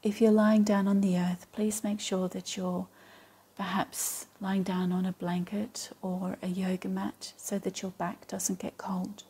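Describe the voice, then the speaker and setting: Soft whispery woman doing guided yoga meditation, mellow and slow, calm with long gaps. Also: mid-range voice. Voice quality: soft, breathy, partly whispery. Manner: calm, measured, long pauses.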